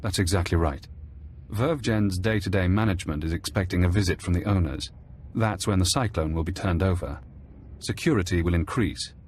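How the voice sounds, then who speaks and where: A man playing a calm, precise intelligence handler in a scripted game cutscene, speaking in a measured, detached way. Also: low voice, slight background noise, natural voice. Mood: measured, clinical. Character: calm, clinical male intelligence handler and tech expert.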